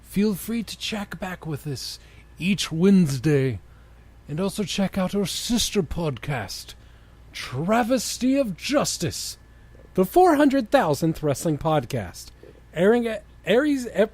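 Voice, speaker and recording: A man's voice: high-pitched, very animated, takes it slowly, a little mellow. A man hosting a comic dramatic story reading, narrating with sardonic, sweary banter. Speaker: podcast host and reader.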